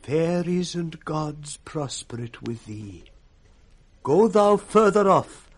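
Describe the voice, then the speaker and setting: An actor playing a weary, despairing blind old nobleman with a British accent, in solemn measured verse for 1960s radio Shakespeare. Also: fairly high pitch, animated, husky, exaggerated voice. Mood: grave resolve.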